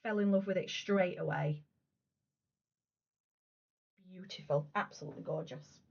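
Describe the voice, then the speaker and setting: A woman in her thirties, a crafting vlogger, chatting casually and gushing about her work, her voice going a little hoarse. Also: lowish voice, measured pace, darkish tone. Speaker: cross stitch vlogger. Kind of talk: casual show-and-tell chat. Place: room at home. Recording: slightly dull lo-fi home recording.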